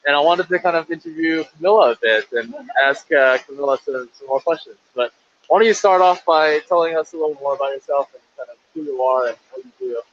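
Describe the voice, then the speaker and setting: A man's voice: fairly high pitch, fast talker. A young man hosting a charity livestream casually, rambling with many fillers and restarts. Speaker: livestream host. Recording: lo-fi livestream audio with faint noise.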